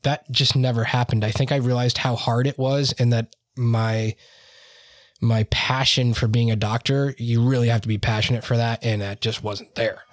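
A man's voice: medium pitch, little pitch movement, moderate pace, dry recording, studio quality. A man hosting an interview, talking in a chatty, rambling way with many "you know" and "like" fillers. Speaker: podcast host interviewing IT leaders. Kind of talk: conversational interview.

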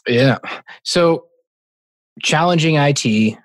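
A man in a casual conversation, laid-back and joking, tossing in quick interjections. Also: fairly high pitch, no room sound. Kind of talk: casual tech podcast chat.

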